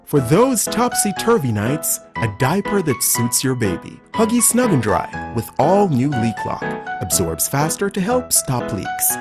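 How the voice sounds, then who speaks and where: A woman with a low voice reads a scripted commercial with lively intonation. Loud, childlike music plays behind her. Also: a little slow, hardly any pauses. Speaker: commercial voice-over. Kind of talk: scripted advertisement narration.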